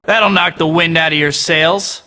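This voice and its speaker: Wisecracking young superhero. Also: male voice, fairly high pitch, fairly even intonation, measured pace, in a large hall. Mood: taunting. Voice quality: bright. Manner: sarcastic, teasing. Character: wisecracking young male superhero, cocky and joking. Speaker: video game hero voice. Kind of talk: quippy game voice clips.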